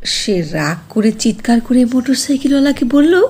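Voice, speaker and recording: Mellow, husky woman narrating a cartoon story. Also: a little high, lively intonation, normal speed.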